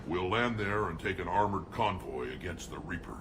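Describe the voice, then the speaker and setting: An actor playing a huge, gruff alien warrior chieftain in a video game, blunt, commanding, proud and battle-hungry, with a growling voice. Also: male voice, sits low, animated, normal speed, background noise. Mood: solemn, resolute. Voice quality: gravelly, growling. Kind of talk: video game cutscene acting. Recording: loud music behind the voice.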